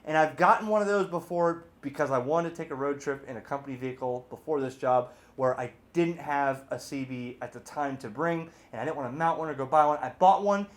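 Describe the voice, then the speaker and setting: Higher-voiced man, chatty gadget reviewer. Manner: chatty, informal, animated rambling with emphatic asides. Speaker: video host, radio hobbyist reviewer. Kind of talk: casual off-the-cuff product review.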